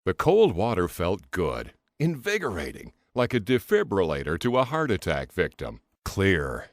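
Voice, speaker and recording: A man's voice: low-pitched, moderate pace, no room sound, clean studio sound. A man reading a comic novel as an audiobook in a theatrical, first-person dramatic style. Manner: theatrical, expressive delivery. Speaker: audiobook narrator.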